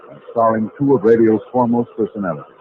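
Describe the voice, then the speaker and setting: A man's voice: fairly low pitch, fast. A man announcing a scripted programme in a formal, projected 1950s radio style. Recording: noisy, narrow-band old radio recording.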